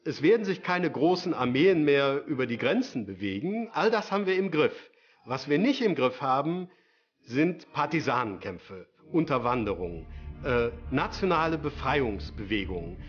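A man's voice: mid-range voice, sing-song, moderate pace. A man giving an unscripted expert explanation in a documentary interview. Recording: slightly dull interview sound, music underneath.

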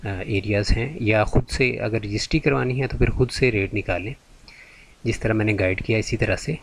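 A man's voice: lowish voice, fairly quick, a little hiss or hum. A man giving a patient, step-by-step screen-recorded tutorial as a channel host. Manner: patient, step-by-step, repeats "theek hai ji".

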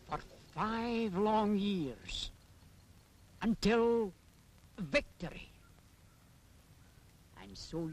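A knowing Scottish man lectures in a high, swooping voice. He explains things slowly and emphatically, in the manner of a 1940s public information film. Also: full character voice. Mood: grave, emphatic. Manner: didactic, emphatic, theatrical.

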